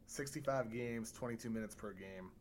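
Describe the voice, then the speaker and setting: A man appearing as a guest basketball reporter on a podcast, low-key and self-deprecating. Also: moderate pace. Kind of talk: casual podcast chat.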